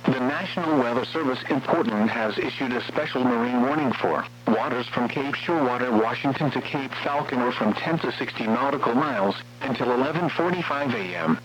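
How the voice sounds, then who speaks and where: A synthetic man's voice reading scripted weather warnings in a formal, measured, impersonal way, as an automated radio announcer. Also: medium pitch, a bit bright. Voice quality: synthetic text-to-speech voice. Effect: radio broadcast. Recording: muffled weather radio with hum and noise.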